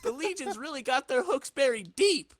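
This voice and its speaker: Man acting a lively, keyed-up young sidekick. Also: very high voice, normal speed, no echo, pitched above the performer's own voice, exaggerated voice. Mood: urgent, incredulous. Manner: exclaiming, slangy, animated. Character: slangy, keyed-up young male sidekick thinking aloud.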